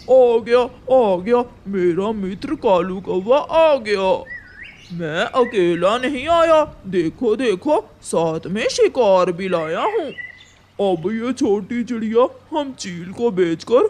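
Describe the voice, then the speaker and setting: A greedy, boastful cartoon crow, acted by a woman, wheedling and exclamatory. It speaks slowly, with some noise behind it. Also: medium pitch, animated, a little mellow. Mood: gloating. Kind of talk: Hindi cartoon villain dialogue.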